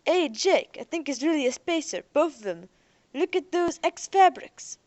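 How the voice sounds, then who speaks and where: A woman voicing a suspicious young man, loud and mocking. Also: high voice, big pitch swings, normal speed, dry recording, voice pushed up. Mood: eager.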